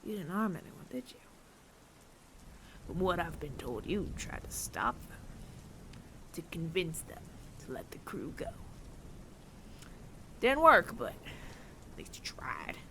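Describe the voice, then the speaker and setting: A man playing a weary older android bounty hunter with reluctant mercy in an intimate audio roleplay, breathy and often near-whispered, deliberate and wry. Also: a little high, wildly swooping pitch, pushed and bright, a little hiss or hum, full character voice, rough recording. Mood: softening, grave.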